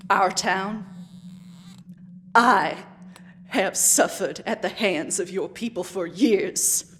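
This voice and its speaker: A woman playing a grieving, vengeful widow in an old west audio drama, speaking in a deliberate, menacing way. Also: medium pitch, slight background noise. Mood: anguished, angry. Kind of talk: western audio drama acting. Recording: loud music behind the voice. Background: music.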